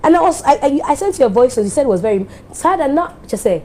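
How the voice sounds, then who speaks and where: A Ghanaian woman speaks with feeling as she looks back and thanks the people around her. Her tone is mellow and emphatic, and there is a faint hiss behind her voice. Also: high-pitched, big pitch swings, fast talker. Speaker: TV show host giving an anniversary speech. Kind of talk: emotional speech mixing English and Twi.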